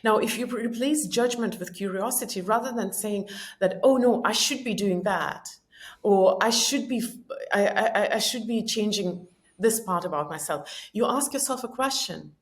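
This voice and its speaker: A woman's voice: medium pitch, normal speed. A woman whose first languages are Estonian and Russian, speaking English as a podcast guest in an earnest, reflective, coaching tone. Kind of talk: reflective conversational explanation.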